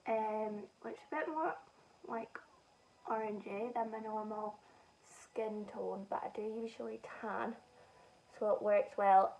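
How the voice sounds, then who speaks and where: A woman's voice: medium pitch, a little mellow. Chatty young Scottish woman, slightly distant mic. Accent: Scottish softened by northern English. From Scotland. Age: young adult. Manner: chatty, rambling and self-mocking. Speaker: beauty vlogger. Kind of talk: casual chat while doing makeup.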